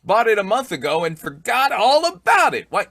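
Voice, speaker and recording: A man plays a blustering, teasing husband in an old radio comedy. His pitch leaps about with folksy, excitable emphasis, and the sound is dry and close. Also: normal speed, no room sound, exaggerated voice. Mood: dismayed. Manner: folksy exclamations, swooping emphasis.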